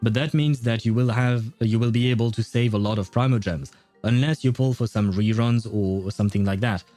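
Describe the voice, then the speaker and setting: A man livestreaming a video game, chatting casually with viewers in a rambling, halting way. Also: medium pitch, fairly even intonation, warm tone, faint music behind. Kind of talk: casual livestream chat and commentary.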